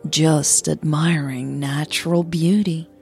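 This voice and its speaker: A woman voicing a smitten, cheeky woman in an audio romance, husky, with a playful drawl. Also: deep voice, fairly even intonation, slow pace, darkish tone, soft background music, full character voice. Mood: mock smooth drawl.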